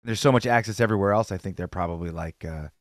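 Fast-talking low-voiced man ranting. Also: no echo, studio quality. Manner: opinionated, fast-talking rants. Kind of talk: casual opinion talk.

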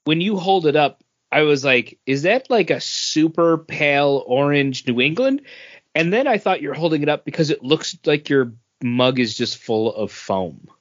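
A man with a voice a little higher than most chats casually as a podcast co-host. The audio is slightly dull and lo-fi. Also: lively intonation, normal speed. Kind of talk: casual chat.

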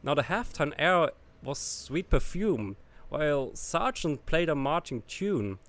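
A man's voice: on the high side, very animated, slow, a bit bright. Young man casually reciting song lyrics. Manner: reads lyrics aloud in a loose, rhythmic recitation, with laughs. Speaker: podcast co-host. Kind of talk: casual comedy podcast chat.

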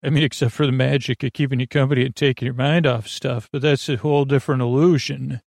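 A man with a low, heavily creaky voice rambles through a bedtime monologue as a sleep podcast host. He halts, restarts and wanders off on tangents in a conversational way. The sound is close and dry. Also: steady tone, darkish tone, no echo. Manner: meandering, halting, drifts off on tangents.

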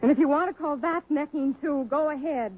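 A woman's voice: high voice, brisk, close to an everyday voice. A woman playing an emotional young woman of about twenty in a 1950s radio drama. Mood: earnest. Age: 20s. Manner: emotional, pleading. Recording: thin phone-like old radio broadcast.

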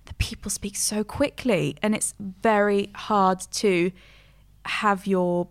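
A woman's voice: medium pitch, steady tone, slow pace. A woman in casual conversation, asking questions and commenting in a teasing, engaged way.

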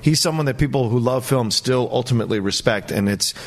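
A man's voice: mid-range voice, a little fast. A man with a mid-range voice talks film on a panel show. He is emphatic and opinionated, rambling conversationally from point to point. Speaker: panelist on a movie talk show.

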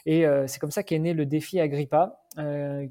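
A man's voice: a little high, steady tone, normal speed, dark tone. A man in his forties from France, a podcast guest talking casually with many fillers and restarts. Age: 40s. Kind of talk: casual interview talk.

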